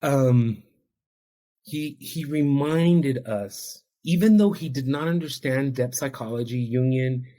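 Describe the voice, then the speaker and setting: A man's voice: medium pitch, slow pace, warm tone. A man whose first language is Spanish, an addiction counselor telling his own story as a podcast guest in an earnest, heartfelt, reflective way. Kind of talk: podcast interview, personal storytelling.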